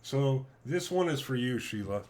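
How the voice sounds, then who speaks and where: A middle-aged man with a deep voice, chatting calmly and casually with viewers on his live stream from home. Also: normal speed. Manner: calm, laid-back, chatty with viewers.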